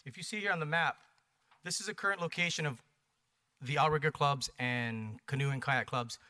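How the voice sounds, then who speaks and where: A man's voice: fairly high pitch, normal speed, pushed and bright. A man from Hawaii with an American accent giving a persuasive public presentation, emphatic, in short punchy phrases and rhetorical questions. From Hawaii, United States. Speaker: presenter for a paddling community. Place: planning commission meeting.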